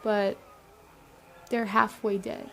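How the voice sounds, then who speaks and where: A woman playing a young zombie-apocalypse camp chief in a roleplay audio drama, explaining things conversationally to the listener with hesitant pauses. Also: medium pitch, level tone, gravelly, slight background noise, rough recording. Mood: wistful.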